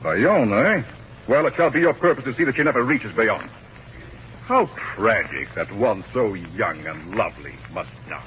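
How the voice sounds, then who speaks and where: An actor playing a treacherous, ambitious Spanish general in a 1940s radio drama, suave and menacing in manner. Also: male voice, on the low side, lively intonation, normal speed, a bit bright. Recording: muffled 1940s radio broadcast, faint noise.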